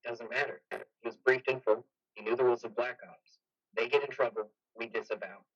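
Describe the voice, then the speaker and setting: A man playing a defensive, patronizing army major in a play reading, measured, evasive and matter-of-fact. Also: mid-range voice, fairly even intonation, fairly bright tone, lo-fi sound, soft background music. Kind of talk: play reading, modern drama.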